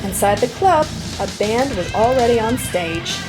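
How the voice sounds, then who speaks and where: Lively high-voiced woman reading a storybook. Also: normal speed, low-quality recording. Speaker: children's storybook reader. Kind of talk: animated read-aloud narration. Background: music with plucked guitar.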